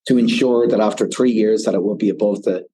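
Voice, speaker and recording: A man's voice: lowish voice, quick pace, dark tone. A man with an Irish accent, a whiskey ambassador guest on a podcast, explaining at length and often saying "you know". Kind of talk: casual podcast interview.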